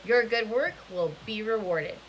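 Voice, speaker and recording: A woman's voice: mid-range voice, animated, a little slow, a little hiss or hum, lightly acted, low-quality recording. A woman reading a storybook part as a commanding camp warden, polite and controlled but threatening. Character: soft-spoken, menacing, commanding woman prison-camp warden.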